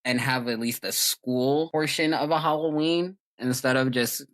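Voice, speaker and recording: Very deep-voiced young American woman. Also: moderate pace. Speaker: podcast host. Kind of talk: casual chat.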